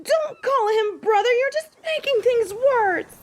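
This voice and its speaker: A woman's voice: very high-pitched, expressive, a little slow, a little hiss or hum. A woman playing a snobby, stubborn tsundere angel in an audio drama, huffy and easily flustered. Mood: flustered, embarrassed. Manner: huffy, haughty, stammering when embarrassed. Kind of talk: audio roleplay drama acting.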